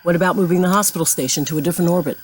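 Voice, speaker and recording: A woman's voice: on the low side, fairly even intonation, rapid-fire, slight background noise, natural voice. A woman playing a weary but decisive starship captain in a sci-fi audio drama, terse and wry. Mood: brisk, wry.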